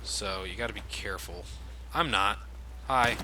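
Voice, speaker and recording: A man's voice: fairly low pitch, moderate pace, noisy background. A man giving casual, explanatory game commentary with plenty of swearing. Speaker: let's play commentator. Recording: loud game gunfire behind voice. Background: video game gunfire and action.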